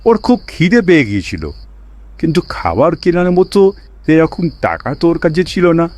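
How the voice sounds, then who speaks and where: A man reading scripted storybook narration for a cartoon tale in an expressive storytelling manner. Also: fairly high pitch, moderate pace.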